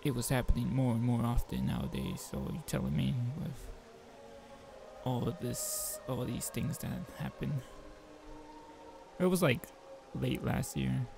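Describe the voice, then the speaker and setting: A man with a gravelly voice reading a visual novel aloud as a game player, mixing narration with informal joking asides. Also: mid-range voice, expressive, unhurried. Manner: informal, joking commentary between read passages.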